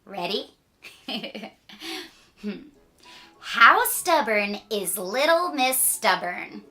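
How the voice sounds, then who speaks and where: Bright, slow, animated woman reading a storybook. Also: mid-range voice, soft background music. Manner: playful asides, dramatic drawn-out emphasis. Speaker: children's story reader. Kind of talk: animated read-aloud storytelling.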